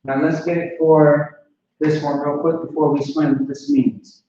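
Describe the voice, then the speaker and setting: A man's voice: fairly high pitch, level tone, moderate pace, warm tone, slight background noise. A man giving an informal language lesson, talking casually and often checking that listeners follow. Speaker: language teacher. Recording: distant lo-fi mic in a very echoey room.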